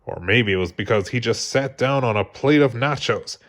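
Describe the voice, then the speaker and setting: A man reading a children's storybook aloud as scripted, expressive narration. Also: mid-range voice, normal speed. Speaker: storybook reader.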